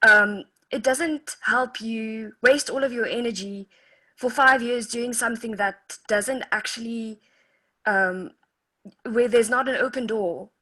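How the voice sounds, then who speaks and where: A woman on a video call asking a long question hesitantly, with many pauses and restarts. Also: fairly high pitch, sharp tone. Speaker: course participant.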